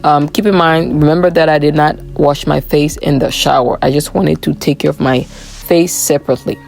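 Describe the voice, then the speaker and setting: A woman narrating a voiceover for her morning routine vlog, hoarse from a cold, chatty and relaxed with frequent "um"s. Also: low voice, normal speed, faint music behind. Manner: relaxed, chatty, many "um" fillers. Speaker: vlogger.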